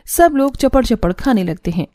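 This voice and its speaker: A woman's voice: fairly high pitch, big pitch swings, moderate pace, warm tone, no echo. A woman reading scripted narration for a cartoon family story.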